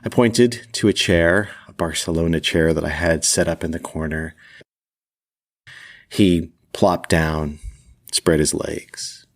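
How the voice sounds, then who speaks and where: A man hosting a storytelling podcast, speaking candidly and wryly with deliberate, dramatic pauses. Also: low voice, fairly even intonation. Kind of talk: personal storytelling.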